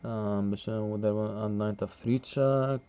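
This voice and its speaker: A man's voice: lowish voice, fairly even intonation, unhurried, mellow, clean tone. A man streaming live chess commentary in a casual way, chuckling often and answering his chat.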